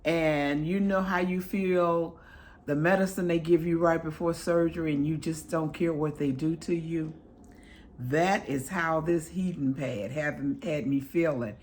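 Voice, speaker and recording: A woman chats slowly through a product review at home, her voice hoarse and crackling from a recent illness. She speaks in a low register, warm-hearted and devout in her greetings. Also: steady tone. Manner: affectionate, chatty, pious greetings.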